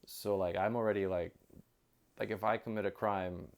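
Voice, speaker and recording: A man chatting casually as an interview guest, with an animated storytelling manner. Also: lowish voice, moderate pace. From outside Japan. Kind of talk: casual chat.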